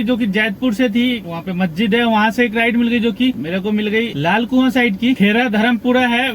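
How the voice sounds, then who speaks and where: A man's voice: extremely high pitch, a little hiss or hum, low-quality recording. A young man from Bihar, a taxi driver, chatting through his day's rides and fares in a casual vlog from inside his car. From Bihar, India. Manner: chatty, running through fares and waits in detail. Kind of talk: casual driving vlog talk. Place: in a car, city traffic.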